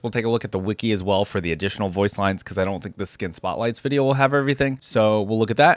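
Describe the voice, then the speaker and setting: A man's voice: on the low side, no echo. Casual man in a dry, close recording. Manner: rambling, thinking aloud. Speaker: reaction video host. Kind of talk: casual reaction commentary with some reading aloud.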